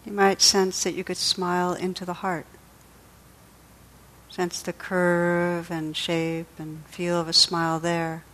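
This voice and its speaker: A woman leading a guided meditation as a teacher, speaking in a gentle, soothing, unhurried way with pauses. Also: fairly low pitch, monotone, poor audio.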